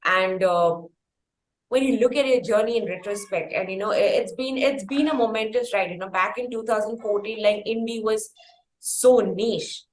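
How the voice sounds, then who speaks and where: A woman's voice: medium pitch, moderate pace. A woman with an Indian accent hosting a conversational interview, feeling her way into questions in a roundabout way with repeats. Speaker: interviewer and podcast host. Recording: echoey room with faint noise.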